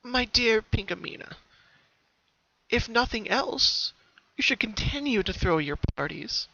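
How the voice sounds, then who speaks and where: A man voicing a gentle, wise old grandmother pony in a story reading, with a soft, soothing, slow and tender delivery. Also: sits high, expressive, gravelly, dry recording, voice pushed up, heavily acted. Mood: warm reassurance. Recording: dull, muffled home recording.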